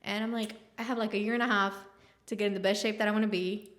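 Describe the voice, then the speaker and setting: A woman's voice: medium pitch, level tone, quick pace. A woman in her late twenties, a podcast guest, chatting frankly and animatedly. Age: late 20s. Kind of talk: casual chat.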